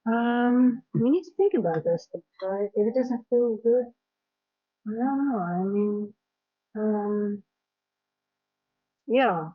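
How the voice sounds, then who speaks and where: A woman's voice: fairly high pitch, slow pace, long pauses, narrow, tinny audio. A woman from the Netherlands with a light Dutch accent, chatting frankly on her own live trading stream, rambling with many fillers and restarts. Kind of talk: casual live-stream chat. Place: home in South Africa.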